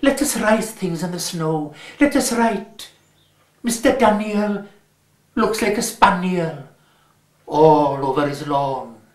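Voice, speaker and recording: A man's voice: high voice, animated, slow. A man with a Welsh accent reading the lines of a mischievous young boy in a storybook, with a coaxing, conspiratorial manner. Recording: roomy echo.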